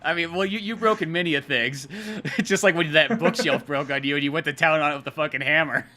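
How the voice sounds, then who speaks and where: A young man with a very bright, forward voice pitched a bit high. He talks as a podcast co-host walking through a comic, swearing freely and acting out lines as he tells it. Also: fast. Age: young adult. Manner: profane, animated storytelling with acted-out lines. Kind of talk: casual comic read-along commentary.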